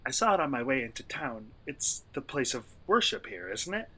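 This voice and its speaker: A man playing a polite, inquisitive traveler in an eerie radio play, speaking in a courteous, measured, bookish way. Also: medium pitch, sing-song, bright, forceful tone, husky, exaggerated voice. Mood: polite, curious. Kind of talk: radio play acting and narration.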